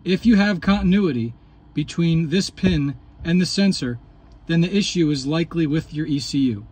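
A man's voice: on the high side, lively intonation, moderate pace, darkish tone, husky. Methodical man explaining a repair. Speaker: channel host demonstrating repairs. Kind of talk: instructional walkthrough.

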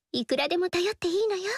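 An actress voicing a gentle, friendly young noblewoman in a video game, talking warmly and chattily. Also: very high-pitched, no room sound, studio quality, exaggerated voice. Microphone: studio mic, dry.

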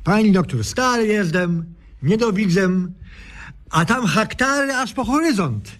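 A man's voice: high-pitched, expressive, a little slow, a bit bright. An actor playing a flustered, plaintive elderly watchman in a radio comedy sketch, with muddled rustic speech. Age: older. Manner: rustic, ungrammatical, lamenting exclamations.

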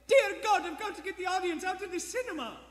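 An actor playing an earnest, excitable Scotland Yard detective with urgent, declamatory delivery in a stage radio play. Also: male voice, very high voice, fast. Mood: alarmed, pleading. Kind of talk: 1940s radio play acting. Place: theater stage in front of an audience. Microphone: standing stage microphone. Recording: live theater stage, long reverb, music behind.

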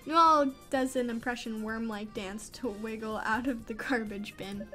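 A woman's voice: a little high, normal speed, sharp tone, faint music behind. A woman reading a visual novel aloud as a gaming channel host, with chatty, amused asides.

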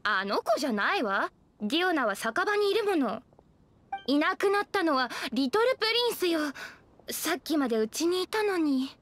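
A woman's voice: high voice, very animated, moderate pace, a bit bright, slight background noise. An actress playing a breezy, carefree young townswoman with ladylike casual speech in a Japanese game. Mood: concerned.

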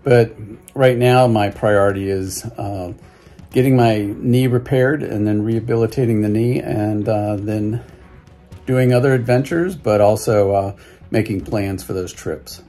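A man in his sixties at home, giving viewers a casual update in a halting way, with many fillers and restarts. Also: on the low side, fairly even intonation, warm tone, soft background music. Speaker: hiking vlogger. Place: living room at home, indoors. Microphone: phone or webcam at close range.

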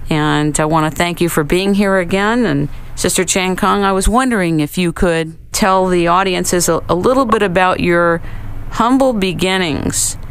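A woman's voice: lowish voice, normal speed, smooth. A woman hosting a webcast, opening the segment in an effusive, announcer-like manner and then interviewing her guest. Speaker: webcast host. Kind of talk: scripted show introduction and interview.

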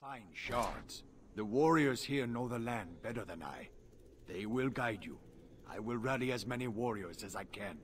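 An actor playing a proud, solemn alien native warrior guide in a video game, speaking formal, clipped English. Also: male voice, mid-range voice, lively intonation, unhurried, background noise, close to an everyday voice. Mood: resolute. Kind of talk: video game character acting.

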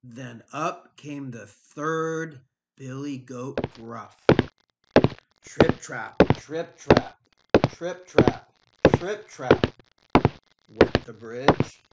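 A man's voice: mid-range voice, extremely slow, lo-fi sound, heavy background noise. A middle-aged man narrating a children's storybook expressively, as a teacher reading aloud.

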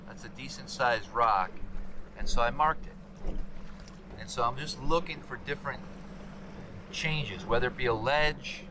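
A man in his forties chatting casually to camera as a fishing vlogger, laid-back and halting as he thinks aloud, recorded outdoors on a boat. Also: medium pitch. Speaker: fishing vlog host. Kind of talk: casual chat, explaining to camera. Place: open boat deck on a lake, outdoors. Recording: outdoor camera audio with clear boat noise.

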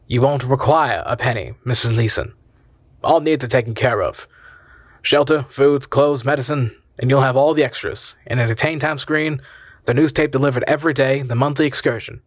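A man's voice: medium pitch, brisk, husky, no echo, clean studio sound, lightly acted. A man voicing a chubby, reassuring state official who speaks politely. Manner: polite, bureaucratic.

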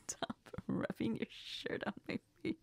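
A woman playing a heartbroken young woman crying to her best friend in an intimate audio role-play, halting and apologetic, often slipping into whispers. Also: no room sound, close to an everyday voice. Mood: sobbing, heartbroken. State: crying, tearful, sniffling.

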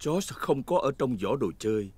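Narrator, children's cartoon dub; animated, playful telling over bright music. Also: male voice, medium pitch, normal speed. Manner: gentle, storybook telling.

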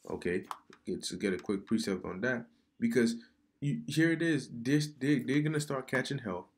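A man giving casual religious commentary over news articles he reads aloud, halting and repeating words, often calling the listener "man.". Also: medium pitch, a little hiss or hum. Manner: preachy, addresses viewer as man. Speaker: online commentator. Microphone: computer mic during screen recording.

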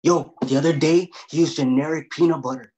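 A man's voice: a little high, steady tone, fairly quick, darkish tone, close to an everyday voice. A man acting a defensive, streetwise young guy in a comedy table read, stammering and repeating himself in slangy speech on a video call. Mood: mock concern. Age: young adult. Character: defensive, blustering grown man, streetwise cousin. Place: home room on a video call. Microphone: laptop microphone.

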